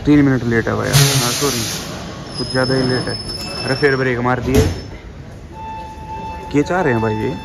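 Loud train and station noise surrounds a man. His pitch is average and he talks casually, like a travel vlogger updating friends. His phrasing is informal and often repeated. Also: fairly even intonation, moderate pace, gravelly, long pauses. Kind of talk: casual vlog chat to camera. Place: railway platform and inside a train. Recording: noisy railway station and train ambience.

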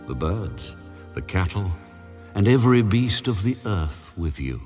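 An actor playing God in a dramatized scripture reading, speaking in slow, measured, grave pronouncements. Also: male voice, low-pitched. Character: God, solemn commanding male deity. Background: soft music.

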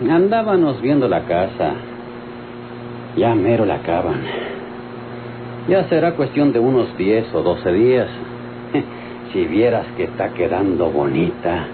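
Animated, hot-tempered Mexican country man. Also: lowish voice, slow, pauses often, narrow, tinny audio. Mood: cheerful, eager. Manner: emphatic, colloquial. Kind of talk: old radio drama acting. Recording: noisy, band-limited old radio recording.

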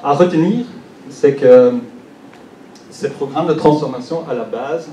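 A man's voice: on the high side, measured pace, dark tone, a little hiss or hum. A young man with a Belgian French accent, French not his first language, giving a conference presentation with many fillers and restarts. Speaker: conference speaker. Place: large conference hall. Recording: echoey hall, distant mic.

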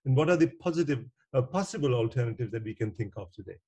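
A man moderating a virtual panel, introducing speakers in a halting, searching manner over a video call. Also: medium pitch, animated, warm tone, breathy edge. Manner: halting, searching for words, frequent hesitations. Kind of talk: semi-formal spoken introductions at a virtual meeting. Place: indoor room on a video call. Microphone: video call microphone.

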